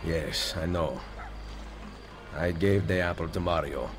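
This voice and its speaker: An actor playing a determined, commanding Renaissance Italian assassin leader in a video game, with an Italian accent and resolute delivery. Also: male voice, sits low, slow pace, big-room sound, music in the background. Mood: earnest. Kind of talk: dramatic video game cutscene acting.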